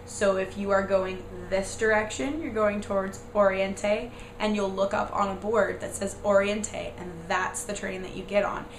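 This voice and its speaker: Hoarse, croaky young woman vlogging. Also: steady tone, moderate pace, few pauses. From United States. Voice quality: raspy, squeaky and croaky. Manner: rambling, lots of "like" and "um". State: hoarse from a cold. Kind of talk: casual chatty explanation. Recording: echoey room with noise.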